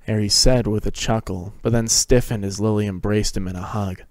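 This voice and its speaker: A man's voice: fairly low pitch, steady tone, fairly quick, no echo. A man giving a scripted dramatic reading of a fantasy story as narrator. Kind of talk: dramatic reading of fan fiction.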